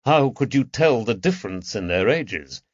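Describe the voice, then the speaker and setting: A man voicing a calm, perceptive Victorian detective with a gentle, measured way of talking, in a dull, dry recording. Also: medium pitch, no echo, natural voice.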